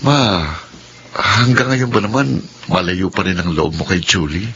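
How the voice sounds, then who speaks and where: A man's voice: low voice, animated, a little slow, bright, forceful tone, a little hiss or hum, exaggerated voice. A man playing a young husband and father in a radio drama, teasing and patient. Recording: dull lo-fi radio recording.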